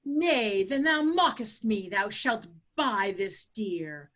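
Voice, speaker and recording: A woman's voice: medium pitch, very animated, slow pace, a bit bright, faint music behind. A woman playing a hot-headed young male lover who challenges a rival, reading Shakespeare emphatically. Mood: indignant. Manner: emphatic, declamatory. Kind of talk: Shakespeare table read in verse.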